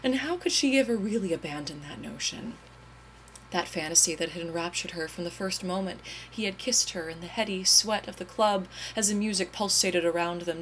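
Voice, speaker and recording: A woman's voice: on the low side, slight background noise, low-quality recording. A woman giving a melodramatic dramatic reading of overwrought prose, with a theatrical, mock-tragic and emphatic delivery. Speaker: reader.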